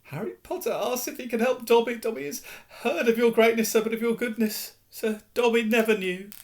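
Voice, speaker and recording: A man voicing a high-pitched, servile male house elf who speaks earnestly and fawningly in an audiobook. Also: brisk. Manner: earnest, fawning, says sir constantly. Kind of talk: audiobook character voice.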